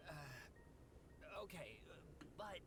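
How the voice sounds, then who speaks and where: A man's voice: on the high side, lively intonation, extremely slow, crowd noise. A man playing an earnest, bookish and slightly awkward young student in a video game. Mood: resigned. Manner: earnest, explanatory. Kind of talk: video game character acting.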